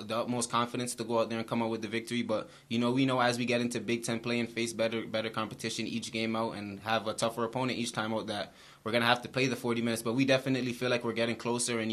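Young man, flat quick postgame answers. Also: mid-range voice. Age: young adult. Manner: flat, steady, repeats phrases. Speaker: college basketball player. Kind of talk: postgame press conference answers. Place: small room with brick wall. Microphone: table mic in front.

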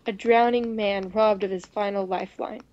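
A woman gaming co-host with an expressive delivery, reading visual novel dialogue aloud. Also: medium pitch, normal speed, highs cut off.

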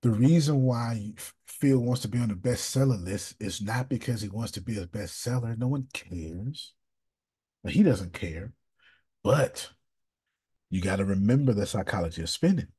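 A man hosting a casual coaching call over video, animated and emphatic, with slangy, repetitive phrasing. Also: on the low side, normal speed, slightly rough. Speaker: business coach and host.

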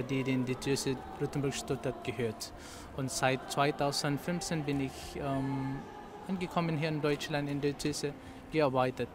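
A man with a Filipino accent talks through an interview, pausing often and filling the gaps as he looks for the right words. His voice sits fairly high for a man. Clear background noise runs under the whole recording. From Philippines. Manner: halting, searching for words with many fillers. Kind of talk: interview answers.

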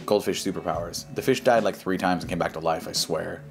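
A man's voice: low voice, fairly even intonation, brisk, gravelly. An American man doing casual reaction commentary, reading aloud and responding in a slangy, mocking, dismissive way. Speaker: YouTube commentary host. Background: background music.

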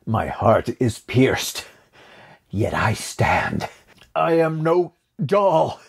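An actor playing a wounded, fiercely protective warrior in an audio drama, with a gravelly, strained, resolute delivery. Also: male voice, medium pitch, very animated, extremely slow, heavily acted. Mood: defiant.

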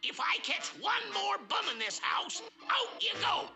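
A woman's voice: very high voice, sing-song, unhurried, music in the background, heavily acted. An actor playing a bossy, suspicious, hot-tempered wife in a comic cartoon, a woman who scolds and domineers. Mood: furious, scolding. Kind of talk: 1960s comic cartoon acting.

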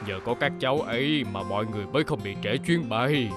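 A man's voice: mid-range voice, fast, music in the background, lightly acted. A man voicing a kindly, uncle-like adult tour bus in a children's cartoon, politely explaining. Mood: grateful. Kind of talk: animated children's cartoon dub.

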